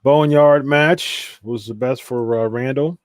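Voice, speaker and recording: A Midwestern man hosting a live stream, talking loosely and reading out viewer comments. His voice sits at an ordinary middle pitch. The sound is dry and close, with no room around it. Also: slow, no room sound. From Ohio, USA. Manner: reads viewer comments aloud, chatty with fillers. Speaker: watch party host. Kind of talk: casual live-stream chat.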